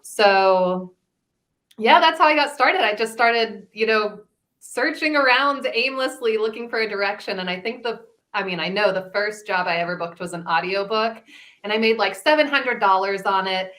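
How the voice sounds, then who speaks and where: A woman voice actor telling personal stories in a casual, animated, chatty way. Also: medium pitch, moderate pace. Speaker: voice actor, panel guest. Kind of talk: casual chat, personal storytelling.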